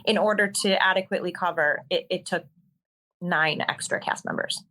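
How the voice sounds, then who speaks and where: A woman's voice: lowish voice, moderate pace, pushed and bright, rough recording. A woman, a stage actor and singer, chatting casually as an interview guest, with plenty of ums and restarts. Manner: chatty, many fillers and restarts. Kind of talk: casual conversational storytelling.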